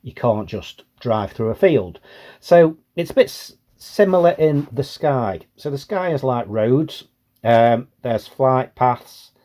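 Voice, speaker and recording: A man's voice: medium pitch, a little mellow. A British man giving a casual, plain-spoken explanation as a hobbyist presenter.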